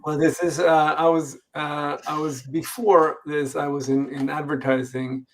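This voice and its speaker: Gravelly man with a Swedish accent. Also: fairly high pitch, little pitch movement, measured pace, a little hiss or hum, rough recording. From Sweden. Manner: hesitant, many fillers and restarts. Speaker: games company executive guest. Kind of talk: conversational interview answers. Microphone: video call.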